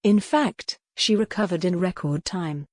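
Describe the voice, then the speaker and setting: A woman's voice: lowish voice, measured pace, a little mellow, no room sound. Woman narrating fiction, dry sound. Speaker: audiobook narrator. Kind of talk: scripted dramatic story narration.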